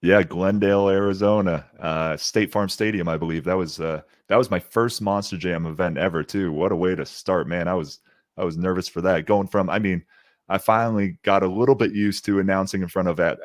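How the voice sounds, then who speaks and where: Young man, deep, gravelly, very low, dry sound, upbeat, laughs easily, video call. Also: steady tone, brisk, no echo. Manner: laughs often, upbeat storytelling. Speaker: guest, ring announcer. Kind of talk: casual interview chat.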